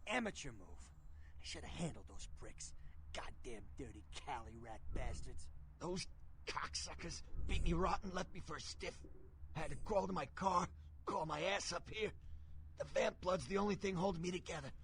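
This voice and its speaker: An actor playing a wounded, whining, foul-mouthed vampire servant in a video game, strained and agitated. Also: male voice, fairly high pitch, normal speed, a bit bright. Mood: bitter, strained. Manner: agitated, cursing, pleading. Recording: clear background noise, game ambience.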